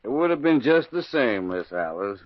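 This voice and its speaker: An actor playing a steady small-town sheriff in a 1940s radio drama, calm and measured. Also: male voice, medium pitch, no echo, lightly acted. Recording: muffled old radio broadcast.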